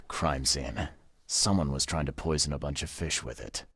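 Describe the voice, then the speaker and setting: An actor playing a gruff, wry, world-weary private investigator in a video game, speaking laconically in a husky voice. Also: male voice, a little slow, a bit bright, dry recording, clean studio sound, full character voice. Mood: probing. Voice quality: husky, gravelly low voice. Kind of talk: video game dialogue acting.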